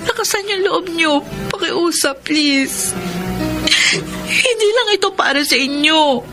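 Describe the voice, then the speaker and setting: An insistent grown daughter in a radio drama. Her high voice is emotional and pleading, set over clearly audible music. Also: expressive, slow, full character voice. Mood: tearful pleading. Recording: music bed under dialogue.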